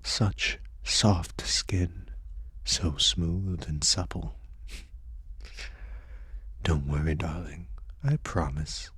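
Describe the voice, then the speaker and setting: A man's voice: deep voice, drawn-out words, long pauses, fairly bright tone, dry recording, full character voice. A man playing a seductive, dominant and sadistic vampire in an intimate ASMR audio roleplay, coaxing and condescending, often dropping into whispers. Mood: hungry, savoring. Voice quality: breathy, husky.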